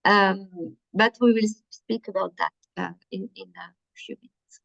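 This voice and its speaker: A woman's voice: medium pitch, steady tone, unhurried, creaky, a bit bright. Hesitant French woman, muffled call audio. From France. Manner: hesitant, many fillers and restarts. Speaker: workshop presenter. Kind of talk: online conference presentation. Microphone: video call.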